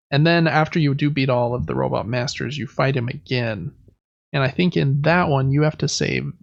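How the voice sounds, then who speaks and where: A man's voice: mid-range voice, vocal fry, no echo, muffled recording. A man hosting a podcast in casual chat, rambling a little and correcting himself as he goes. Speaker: podcast host. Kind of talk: casual chat about video and board games.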